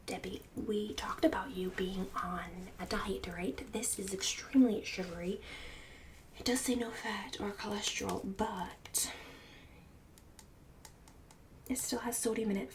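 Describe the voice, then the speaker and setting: A woman's voice: medium pitch, fairly even intonation, normal speed, recorded from a distance, a little hiss or hum, lightly acted. A woman playing a snobbish, mean suburban book club hostess in an ASMR roleplay, partly whispered, with condescending, fake-polite emphasis. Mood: catty judgment.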